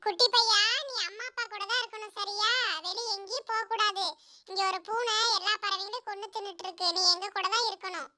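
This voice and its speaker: A woman's voice: very high-pitched, fairly even intonation, a little fast, sharp tone, exaggerated voice. A woman voicing small animals in a cartoon moral story, childlike and earnest. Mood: caring, warning. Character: small cartoon animals: orphaned mouse child, caring mother bird.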